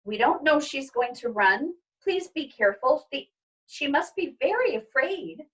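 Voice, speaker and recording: A woman voicing an earnest, tender-hearted young girl, pitching her voice up and pleading. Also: animated, unhurried, a bit bright, distant mic. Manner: pleading, higher-pitched girlish voice.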